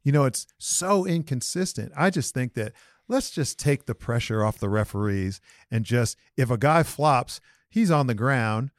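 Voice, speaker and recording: A man co-hosting a sports podcast, talking in a loose, slangy and opinionated way. His pitch sits in the middle, and the sound is dry and close with no room around it. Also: expressive, unhurried, no room sound. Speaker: podcast co-host. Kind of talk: casual sports chat.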